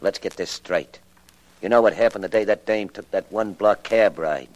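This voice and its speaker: An American actor playing a menacing, sneering gangster killer in a 1940s radio crime drama, slangy and taunting. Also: male voice, lowish voice, normal speed, natural voice, poor audio. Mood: sly menace.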